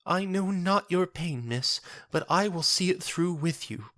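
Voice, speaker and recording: A man voicing an earnest, gentle young church woman in a soft, lilting, formally polite way for an audio story. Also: high voice, animated, a little slow, pitched above the performer's own voice. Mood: soothing. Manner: formal, quaintly polite speech.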